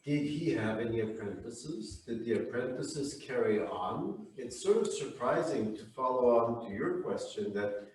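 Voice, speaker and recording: A man's voice: mid-range voice, animated, a little slow, darkish tone. A man in the audience asking probing follow-up questions at a museum talk. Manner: probing, inquisitive. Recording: distant in an echoey hall, faint noise.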